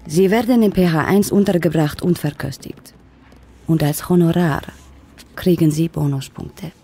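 A woman playing a senior civil servant in a radio play, brisk, formal and matter-of-fact. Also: sits low, dark tone, breathy edge. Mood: firm, bargaining. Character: brisk, official female senior bureaucrat.

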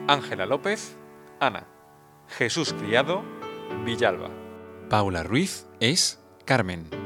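Man reading credits over clear guitar music. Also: medium pitch. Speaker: credits announcer. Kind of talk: scripted podcast credits narration. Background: plucked guitar music.

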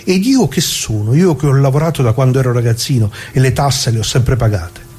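A man's voice: medium pitch, lively intonation, brisk, mellow, slightly rough. An actor playing a talkative working-class grandfather, an old leftist reminiscing in a folksy radio monologue full of asides. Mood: indignant, confused. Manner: folksy, rambling, full of asides and rhetorical questions. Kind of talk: theatrical monologue for radio, colloquial storytelling.

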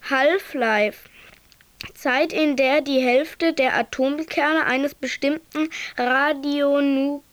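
A woman playing a detached, deliberate glossary voice that reads definitions formally in a radio play. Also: sits high, no echo.

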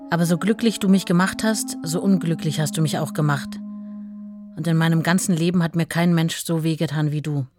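An actress playing a deeply hurt, resolute estranged wife reading her letter in a radio drama, measured and earnest. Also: lightly acted. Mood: wounded, bitter.

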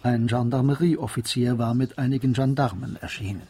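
A man with a husky voice reading scripted narration for a radio drama. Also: mid-range voice, fairly even intonation, fairly quick, mellow.

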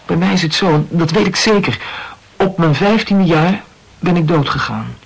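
An actor playing a brooding, wryly morbid male poet in a Dutch radio play, speaking reflectively and haltingly in a gravelly voice. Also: fairly high pitch, low-quality recording. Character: brooding, self-absorbed male poet, wryly morbid about his youth.

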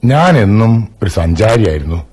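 An actor playing an older, reflective Malayali landlord in a radio drama, with a measured storytelling manner and a dignified air. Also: male voice, on the low side, big pitch swings, darkish tone, slightly rough.

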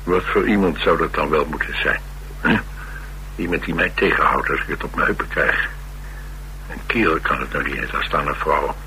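A restless, wisecracking Dutch loner in a radio drama. His voice is very low and has a bright, forceful edge. He banters in a sardonic, streetwise way, over faint hum and hiss. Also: fairly even intonation, moderate pace, rough recording. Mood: cocky, teasing. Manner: flippant, sardonic, colloquial banter. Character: cocky, restless, wisecracking male loner on the run. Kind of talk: naturalistic radio play dialogue.

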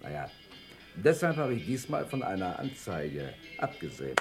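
A man's voice: deep voice, very animated, normal speed, faint music behind. An actor playing a genial police sergeant in a radio crime drama, jovial and teasing as he draws things out. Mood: friendly.

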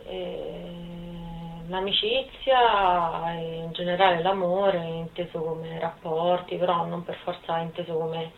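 A woman's voice: lowish voice, steady tone, takes it slowly, vocal fry, slight background noise. A woman in her twenties answering interview questions informally, hesitant and thinking aloud. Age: 20s. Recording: thin phone-like sound.

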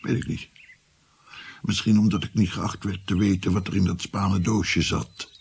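A weary court physician narrating a radio drama. The voice is deep and very husky, with a gravelly creak. He tells his tale in a measured, sombre, confiding manner. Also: fairly even intonation, a little mellow, full character voice. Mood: resigned. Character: weary male Renaissance physician recounting his past at court.

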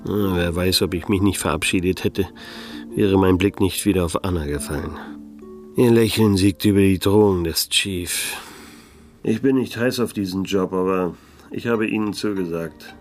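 An actor playing a laconic, disillusioned private detective who narrates and speaks in a German radio play. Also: male voice, low voice, moderate pace, faint music behind, natural voice. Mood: resolved, wry. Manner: laconic, dry, world-weary. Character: wry, disillusioned male private detective narrating his case.

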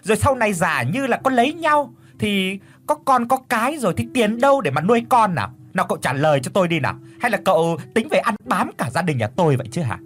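A man's voice: big pitch swings, normal speed. A man voicing a scornful middle-aged mother who mocks and scolds. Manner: mocking, scolding, high and loud.

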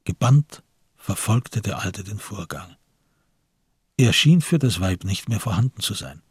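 Husky male narrator of a crime drama. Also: on the low side, expressive, unhurried, no echo, clean studio sound. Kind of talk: scripted literary narration for radio crime drama.

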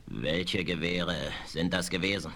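An actor playing a pompous, vengeful villainous general in a German western radio play, indignant and easily flustered. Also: male voice, low voice, steady tone, measured pace, a bit bright, exaggerated voice. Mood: uneasy, evasive. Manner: pompous, indignant, stammering when cornered. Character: blustering, vengeful villainous general in the Old West.

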